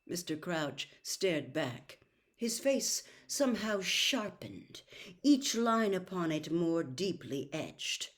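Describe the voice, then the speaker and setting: A woman narrating fiction aloud. Her voice is a little low for a woman, with a very slow, measured pace and animated intonation that gives the storybook prose shape. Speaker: audiobook narrator. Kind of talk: expressive scripted storybook narration.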